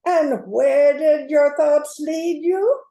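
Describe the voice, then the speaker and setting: Lady doing earnest young girl heroine, high voice, takes her time, echoey room, radio play. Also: slow, dark tone. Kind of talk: amateur radio play acting.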